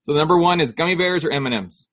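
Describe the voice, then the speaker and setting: A man's voice: on the high side, fairly bright tone. Upbeat man hosting a podcast. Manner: upbeat, laughs easily, quick questions. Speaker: podcast host. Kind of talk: casual interview.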